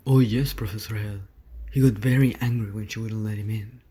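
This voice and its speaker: A man's voice: fairly low pitch, fairly even intonation, a little fast, mellow, slightly rough. A man voicing a mischievous, mock-serious poltergeist who relishes attention, in a fan audio drama. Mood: gleeful, taunting.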